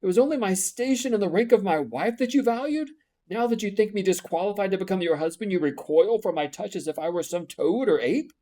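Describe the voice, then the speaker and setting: A man reading an audiobook, voicing a passionate middle-aged gentleman who pleads and storms. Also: fairly high pitch, expressive, moderate pace, hardly any pauses, husky, voice pushed up. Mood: pleading. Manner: impassioned, pleading, rising to loud outbursts.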